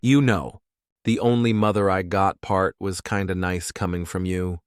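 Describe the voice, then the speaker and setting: Man playing a deep-voiced, excitable soft-hearted husband. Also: normal speed, very noisy, close to an everyday voice. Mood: puzzled. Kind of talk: comic dub acting.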